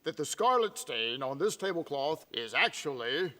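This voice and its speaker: A man's voice: fairly high pitch, sing-song, moderate pace, pushed and bright. A man acting an evasive small-town ladies' man in a film drama. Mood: theatrical, accusing. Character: evasive small-town actor juggling lovers, playing radio inspector.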